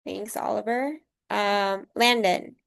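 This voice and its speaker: Smooth-voiced woman running a video call. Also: mid-range voice, steady tone, slow pace, a bit bright. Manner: casual, friendly, leading introductions. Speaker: program coordinator. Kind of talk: online group meeting.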